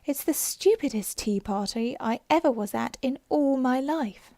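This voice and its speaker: A woman's voice: high voice, lively intonation, unhurried, warm tone, no echo. A woman voicing a polite, curious young girl in a storybook reading, speaking earnestly with a slightly raised voice. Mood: musing. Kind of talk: storybook character voice.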